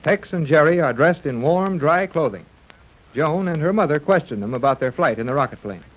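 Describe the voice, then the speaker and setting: A male announcer delivers scripted narration for a 1930s adventure serial. His voice sits fairly high, and the vintage recording is dull and lo-fi. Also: animated, fairly quick.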